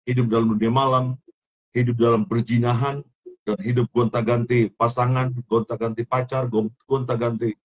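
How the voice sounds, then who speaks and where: A man's voice: medium pitch, flat delivery, muffled recording. A middle-aged man with a strong Sulawesi accent, telling his life story as an interview guest, often repeating and restarting his words. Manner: repetitive, halting, many restarts. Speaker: guest, former film actor turned minister. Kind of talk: testimony interview.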